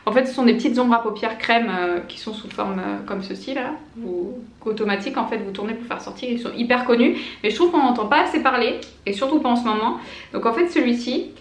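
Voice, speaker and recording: A woman's voice: on the high side, brisk, roomy sound. A woman in her late twenties chatting enthusiastically to camera as a beauty vlogger, with lots of fillers and restarts. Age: late 20s. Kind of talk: casual product review to camera.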